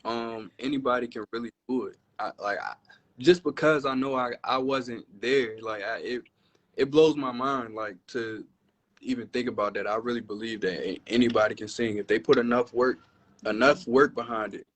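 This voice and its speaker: Deep-voiced man musician, relaxed. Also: normal speed. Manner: relaxed, reflective, says "you know" often. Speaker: interviewed musician. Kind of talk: casual interview answers.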